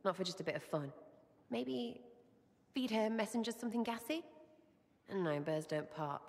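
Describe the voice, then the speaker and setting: A woman's voice: medium pitch, animated, moderate pace, a little mellow, big-room sound. A woman playing a mischievous, blunt young elven rebel in a video game, with an English accent and a cheeky, slangy, irreverent way of talking. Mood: scheming.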